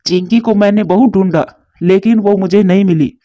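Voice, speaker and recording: A woman voices a devoted father pigeon in a children's cartoon. The tone is mellow and dark, tender yet fretful. The recording is dry and somewhat muffled. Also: medium pitch, moderate pace, dry recording, close to an everyday voice. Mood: worried. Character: doting, anxious father pigeon.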